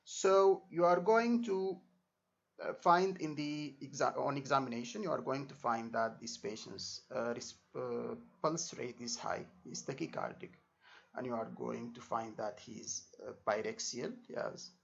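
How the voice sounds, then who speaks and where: Man tutoring, creaky voice, dull recording. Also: mid-range voice, measured pace. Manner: repetitive, checks understanding with short tags. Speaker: exam tutor. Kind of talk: informal spoken lesson. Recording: dull lo-fi microphone sound.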